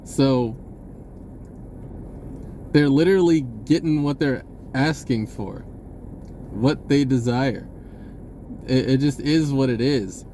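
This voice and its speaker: A man giving an unscripted personal message in a casual, halting, rambling way with short broken phrases. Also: mid-range voice, mellow, a little hiss or hum.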